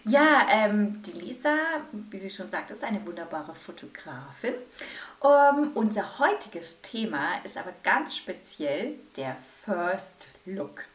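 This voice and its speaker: A woman's voice: medium pitch, big pitch swings, slow pace, far from the mic. A woman hosting a wedding podcast in casual chat, gushing and laughing often as she asks her guest questions. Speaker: podcast host and wedding speaker. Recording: roomy echo with faint music.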